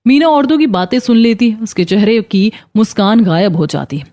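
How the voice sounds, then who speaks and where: A woman's voice: mid-range voice, lively intonation, fairly quick, warm tone. A woman narrating a scripted moral story as a cartoon storyteller. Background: faint background music.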